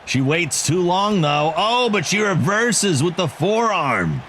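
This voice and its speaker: A man giving excitable wrestling play-by-play commentary for a video game league, joking about himself between calls. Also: high-pitched, takes it slowly. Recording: game crowd and noise behind the voice. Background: video game arena crowd.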